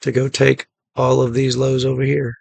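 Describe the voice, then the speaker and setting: A man with a hoarse, gravelly voice from a cough, recapping a day trade in a relaxed, matter-of-fact way and repeating his points. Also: medium pitch, moderate pace, no room sound. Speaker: day trading channel host. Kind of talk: casual screen-share trade recap.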